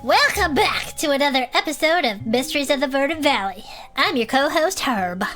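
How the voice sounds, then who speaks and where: An excitable female adventurer in an audio drama. Her voice sits high, and she speaks with earnest, dramatic emphasis as a monster-show co-host. Light music plays underneath. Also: moderate pace. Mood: dramatic, animated. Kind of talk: audio drama acting as a chatty fantasy podcast co-host.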